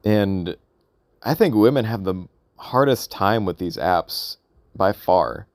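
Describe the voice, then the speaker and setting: Halting man musing aloud, dry sound. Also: low-pitched, lively intonation, no room sound. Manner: hesitant, halting, rambling with repeated questions. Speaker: vlogger recording an audio log. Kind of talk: casual unscripted monologue, musing aloud.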